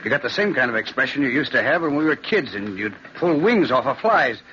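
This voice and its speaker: A man's voice: medium pitch, lively intonation, fairly quick, pushed and bright. An actor playing a plain-spoken, wary rustic working man, folksy and blunt, in an old-time radio drama. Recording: dull, hissy old radio recording.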